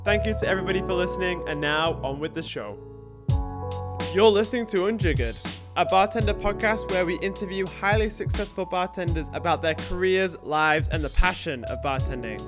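Female announcer for a scripted podcast intro, delivered over a clear music bed. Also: on the low side.

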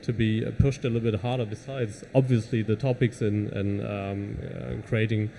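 A man's voice: lowish voice, fairly even intonation, normal speed, gravelly, dark tone, slight background noise. A man from Germany with a German accent, speaking as a panelist in a panel discussion, hesitant with many fillers.

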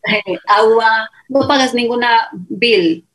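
A woman's voice: fairly high pitch, slow, low-quality recording. Ecuadorian woman telling her story. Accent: Ecuadorian Spanish. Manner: animated, quotes others in dialogue, many fillers. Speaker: guest. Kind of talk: casual interview storytelling.

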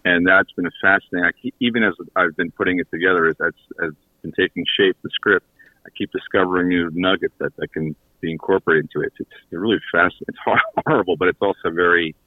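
A man chatting casually as a guest in a radio interview, halting as he searches for his words. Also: low-pitched, fairly even intonation. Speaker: guest, audio theater creator and writer. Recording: echoey, tinny phone-line connection.

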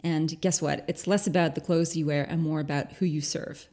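A woman with a slightly low-pitched voice speaks as a podcast host. She is enthusiastic and effusive, keeping a conversational interview style. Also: fairly even intonation, moderate pace, mellow.

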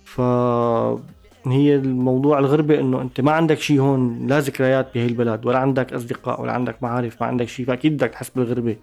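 A man speaking with a Syrian Arabic accent, his voice gravelly with fry. He talks fast but reflectively, stopping to restart phrases, with soft music faintly underneath. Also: medium pitch, level tone. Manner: thoughtful, pauses and restarts mid-phrase. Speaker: musician interviewed. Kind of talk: reflective interview talk.

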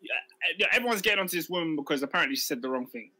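A man's voice: a little high, racing, no room sound. A man born in Uganda co-hosting a casual chat, reading viewer comments and talking emphatically. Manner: animated, emphatic, laughs often. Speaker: livestream co-host.

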